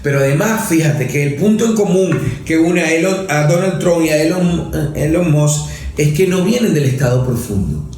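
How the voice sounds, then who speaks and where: A man's voice: fairly high pitch, animated, darkish tone. Lecturing man, echoey room. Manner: lecturing, academic, halting restarts. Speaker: guest, legislator and author. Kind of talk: political analysis in conversation.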